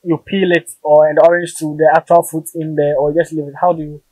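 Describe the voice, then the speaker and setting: A young man asks a farmer about his work, curious and chatty, picking up the guest's last words. His voice is a touch high for a man. The sound is dry and close on a lapel mic. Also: flat delivery, fast talker, dark tone, no room sound, poor audio. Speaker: interviewer. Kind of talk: conversational interview. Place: open-sided farm shelter outdoors.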